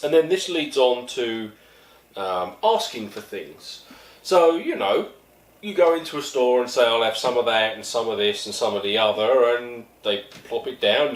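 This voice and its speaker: A middle-aged man from East London, with an East London accent, telling wry, self-deprecating stories in a casual monologue. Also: on the low side. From East London, England. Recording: echoey room, mic at a distance.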